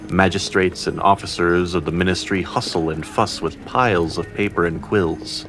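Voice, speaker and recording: Deep-voiced man narrating a fantasy tale. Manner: conversational, playful asides. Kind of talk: scripted storytelling narration for fantasy audio drama. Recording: clear music bed under narration.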